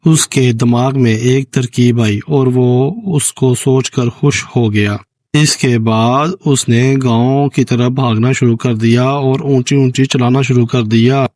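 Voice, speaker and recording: A man narrates a children's cartoon story in a brisk, unvarying way. His pitch stays mid-range and barely moves, and the dry, close recording has no room sound. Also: fairly even intonation, darkish tone, no room sound.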